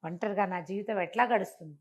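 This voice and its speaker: A woman's voice: medium pitch, fast talker, close to an everyday voice. A woman storyteller voicing a distraught, devoted queen who pleads insistently with her husband in a folk tale. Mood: pleading, insistent.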